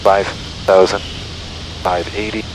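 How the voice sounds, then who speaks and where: A man's voice: low-pitched, takes it slowly. A man flying a small plane, chatting casually and talking himself through each task over a headset. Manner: talks self through tasks. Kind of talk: casual cockpit chat, narrating own flying. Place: small propeller plane cockpit in flight. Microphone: aviation headset. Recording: loud steady aircraft cabin noise.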